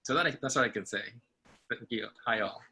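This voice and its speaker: A man's voice: medium pitch, fairly quick, sharp tone, husky. A man from Rico, an arts director and teacher, introducing himself on a video call in a hesitant, earnest way, searching for words. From Puerto Rico. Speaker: artistic director and university faculty member.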